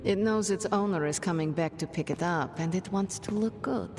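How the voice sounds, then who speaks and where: A woman's voice: fairly low pitch, normal speed, long reverb tail, lightly acted. An actress playing a cocky young female sorceress with a sword, talking in a wry, casual way. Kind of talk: video game cutscene acting.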